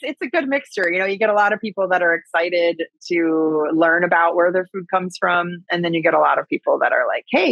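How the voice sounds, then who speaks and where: A woman's voice: medium pitch, dry recording, dull audio. A woman with a Wisconsin accent talking casually and chattily as a guest in an interview. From Wisconsin, USA. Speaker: podcast guest, agriculture advocate and speaker.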